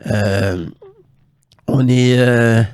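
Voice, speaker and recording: A man's voice: mid-range voice, fairly even intonation, very slow, darkish tone, clear, resonant tone, no room sound, studio quality. An older man with a Quebec French accent telling career anecdotes casually as an interview guest, colloquially re-enacting conversations with quoted dialogue. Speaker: guest, former hockey scout.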